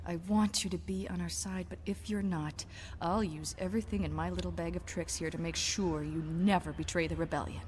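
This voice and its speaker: A tough rebel agent from an audio drama played by a woman. Her voice is somewhat low, dry and sardonic. It rings with long reverb over clear background ambience. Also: steady tone, a little fast, noisy background, lightly acted. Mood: resolute.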